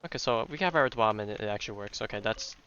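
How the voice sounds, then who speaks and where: A man's voice: lowish voice, lively intonation, quick pace, fairly bright tone. A man streaming a video game, thinking aloud in casual commentary. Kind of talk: casual live gameplay commentary. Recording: slightly dull audio, game music behind.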